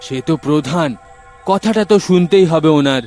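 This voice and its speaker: A man's voice: a little high, moderate pace, a little mellow, faint music behind, natural voice. A man voicing a brave, determined young village man who talks plainly in a cartoon dub. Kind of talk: cartoon dubbing.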